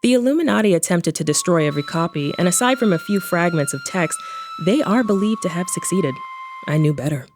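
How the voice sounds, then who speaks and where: A woman's voice: fairly low pitch, expressive, a little fast, slight background noise. A woman playing a wry, knowing adventurer who narrates her own story in an audio drama with flippant asides. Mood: knowing, storytelling. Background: music.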